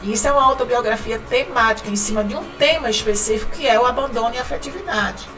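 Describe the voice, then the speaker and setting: A woman's voice: a little high, fast talker, over music. Chatty northeastern brazilian woman, mic far off. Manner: chatty, repeats words, laughs often. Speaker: guest, writing company founder. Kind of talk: casual podcast interview.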